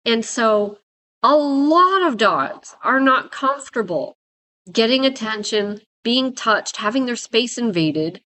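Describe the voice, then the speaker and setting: A woman dog trainer, raspy from a head cold, giving blunt, emphatic advice in casual talk to viewers. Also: mid-range voice, a bit bright. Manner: emphatic, blunt, repeats "right?" and "guys".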